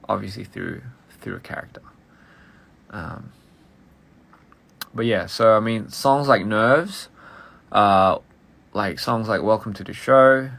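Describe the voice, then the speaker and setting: Young male musician, low gravelly voice, fast and rambling, casual stream chat. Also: a bit bright. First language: English. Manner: rambling, halting, full of "like" and restarts; switches between English and Korean.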